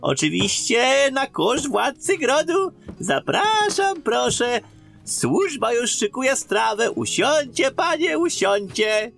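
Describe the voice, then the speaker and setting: High-pitched, fawning fantasy innkeeper. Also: male voice, big pitch swings, vocal fry, a bit bright, soft background music, heavily acted. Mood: fawning, welcoming. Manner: fawning, halting, drawn-out delivery. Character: obsequious, gossiping male innkeeper of a besieged fantasy town. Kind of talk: fantasy radio drama acting.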